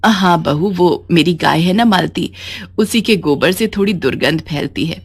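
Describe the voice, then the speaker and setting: A woman voicing a gentle, long-suffering old village mother in a moral story, meek and plaintive. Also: lowish voice, animated, a little slow, breathy edge, heavily acted. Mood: meek, pleading. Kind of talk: acted dialogue in a moral story.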